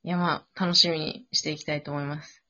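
A woman's voice: deep voice, level tone, fairly quick, bright, forceful tone. A woman chatting casually after an improv drama, switching between polite and informal speech. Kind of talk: casual post-show chat. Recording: dull lo-fi audio.

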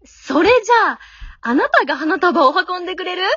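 Radio drama heroine, female amateur: haughty, pushy young girl, high-pitched and very animated, dry slightly dull recording. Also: fairly quick, dry recording, exaggerated voice. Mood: bossy, coy. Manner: haughty, coy, pushy ladylike speech.

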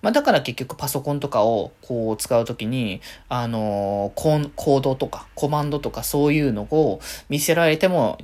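A man's voice: medium pitch, animated, moderate pace, few pauses, darkish tone. A man hosting a solo podcast, giving casual fan commentary and thinking aloud in loose, winding sentences.

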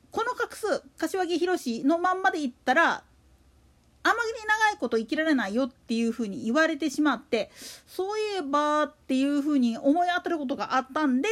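A woman hosts a solo show in a high-pitched voice. Her intonation swings widely as she talks. The delivery is a casual monologue, full of colloquial, slangy turns. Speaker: solo podcast host. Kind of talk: casual monologue about a radio announcer.

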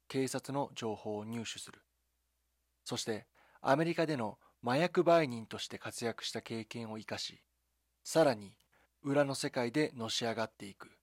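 A man delivering scripted dramatic documentary narration in a measured, solemn storytelling manner, with short deliberate phrases. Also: medium pitch, no room sound, clean studio sound. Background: background music.

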